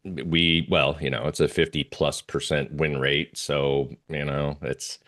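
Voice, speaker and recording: A man's voice: rumbling low, level tone, a little slow, a bit bright. A man giving a casual, hesitant presentation as a guest trader, thinking aloud as he talks through charts. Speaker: interview guest, oil trader.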